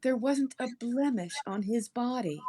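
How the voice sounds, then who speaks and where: A woman voicing a doting, eerily cozy middle-aged landlady in a story, coaxing and gushing with drawn-out words. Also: a little high, warm tone. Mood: gushing, creepy.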